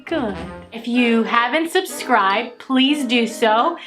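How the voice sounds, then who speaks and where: A woman's voice: a little high, lively intonation, slow, music in the background. A woman from Romania hosting a video channel, chatting casually and teasingly with her partner. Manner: playful, teasing.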